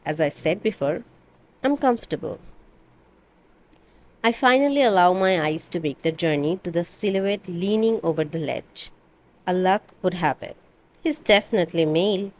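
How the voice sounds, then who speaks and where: A woman reading a first-person novel aloud in an expressive, dramatic amateur audiobook style. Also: on the low side, normal speed, pauses often. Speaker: amateur book reader.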